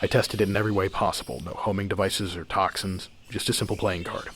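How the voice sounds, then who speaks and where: A man's voice: low voice, steady tone, fast talker, a bit bright. A man playing a stern, secretive vigilante father in an amateur superhero radio drama, stubborn and protective. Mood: grave, recounting. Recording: music bed behind the voice.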